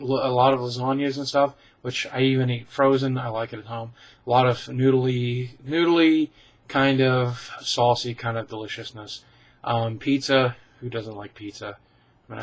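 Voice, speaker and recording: A man's voice: medium pitch, lo-fi sound. A man vlogging casually, answering viewer questions in a chatty, self-deprecating way full of ums and restarts. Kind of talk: casual rambling Q and A.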